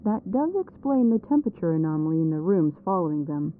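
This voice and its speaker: A woman playing an anxious, reluctant ghost hunter in an audio roleplay, nervous and expressive, through a radio filter. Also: sits low, fast talker, slight background noise. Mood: matter-of-fact. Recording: thin, phone-like radio sound.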